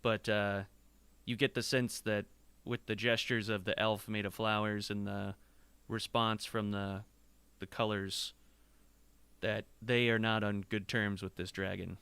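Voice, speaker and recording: A man runs a tabletop game in a bright, very crisp voice, close and dry. He describes each gesture slowly and haltingly, step by step. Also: lowish voice, little pitch movement, dry recording. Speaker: game master. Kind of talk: improvised tabletop game narration.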